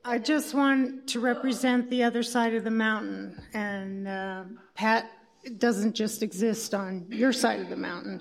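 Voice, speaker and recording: A woman's voice: medium pitch, vocal fry. A woman who works as a local reporter, speaking informally in tribute in a conversational, searching way.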